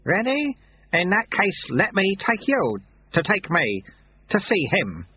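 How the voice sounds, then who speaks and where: Man playing an old male monk sweeper, amiable, unhurried, gently teasing, lively voice, husky edge. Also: high-pitched, voice pushed up, exaggerated voice. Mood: cheerful. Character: cheerful little old bald male temple sweeper. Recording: tinny phone-like sound.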